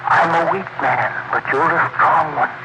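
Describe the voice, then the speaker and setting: An actor playing a dead murderer's ghost, quietly tormenting and persuasive, in a 1940s radio thriller. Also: male voice, on the high side, fairly even intonation, close to an everyday voice. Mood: insinuating. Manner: slow, deliberate, persuasive. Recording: very noisy vintage 1940s radio broadcast.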